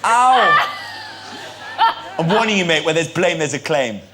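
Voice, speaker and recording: Give me a man bantering in mock outrage, lively voice, recorded backstage with heavy noise. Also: on the high side, a little slow. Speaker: comedian guest. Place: backstage kitchen.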